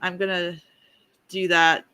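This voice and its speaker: A livestream host running a music reaction panel in a relaxed, rambling way. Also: medium pitch, slow, clean tone. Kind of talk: casual chat.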